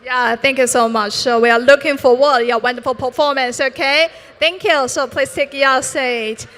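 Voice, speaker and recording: A woman's voice: high voice, fairly even intonation, moderate pace, fairly bright tone. A woman hosting a live esports event on stage, introducing players in Mandarin and English with upbeat, formal announcer phrasing. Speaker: bilingual event emcee.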